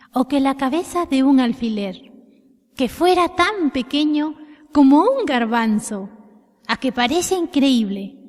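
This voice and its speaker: A woman's voice: high voice, a little mellow. A woman telling a children's story expressively from a script, addressing young listeners directly. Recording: long reverberant echo.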